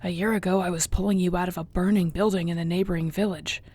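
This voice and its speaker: Husky, low-voiced gentle orc girlfriend played by a woman. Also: steady tone. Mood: tender, grateful. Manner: soft, tender, unhurried, often near a whisper. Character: affectionate orc girlfriend, seasoned warrior, gentle and content. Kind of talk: intimate audio roleplay, spoken to the listener.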